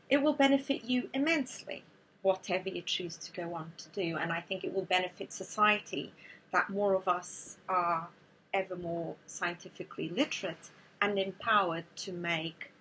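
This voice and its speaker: A woman from Portugal, giving a measured, explanatory talk to students as a neuroscientist. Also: medium pitch, slight background noise, rough recording. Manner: measured, explanatory, with a few restarts.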